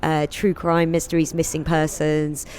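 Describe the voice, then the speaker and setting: Woman with a low voice, chatty interview guest who laughs easily. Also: monotone, darkish tone. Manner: chatty, laughs often, quick asides. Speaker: guest, comedian and singer. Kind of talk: casual interview chat.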